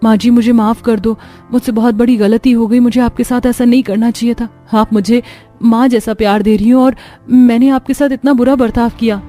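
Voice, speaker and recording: A woman's voice: fairly high pitch, fairly even intonation, fairly quick, warm tone, soft background music, voice pushed up, close to an everyday voice. A woman voicing an anxious, emotional young bride in a cartoon story, suspicious and pleading. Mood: apologetic. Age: young adult.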